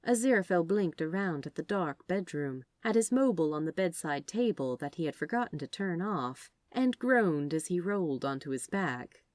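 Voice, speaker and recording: A woman reading a story aloud as narrator, in an expressive scripted style. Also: on the low side, moderate pace, few pauses, no room sound, studio quality. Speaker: fan fiction narrator.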